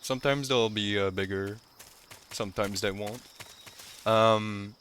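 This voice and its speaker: Laid-back low-voiced male gamer. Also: steady tone, measured pace, a bit bright, slight background noise. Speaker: gaming video host. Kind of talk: casual playthrough commentary. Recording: faint game ambience behind commentary.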